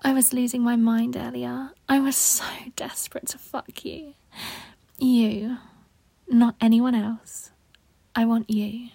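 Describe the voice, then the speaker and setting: A seductive, needy woman lover, acted by a woman in intimate adult audio roleplay. Her voice is on the high side, with a creaky edge and frequent breathy whispers. She speaks slowly and coaxingly. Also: a little mellow, no room sound, full character voice. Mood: breathless, pleading. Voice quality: husky, breathy, often dropping into whispers. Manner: slow, sultry, coaxing.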